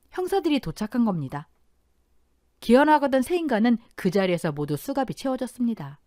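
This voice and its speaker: A woman's voice: mid-range voice, a little mellow, no room sound, clean studio sound. A woman narrating a dramatic first-person family story in an audio-drama style.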